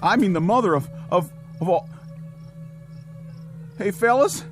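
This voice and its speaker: An actor playing an eager, excitable young army soldier in a radio drama. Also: male voice, high voice, unhurried, faint music behind. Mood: shaken. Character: eager jittery young male army private.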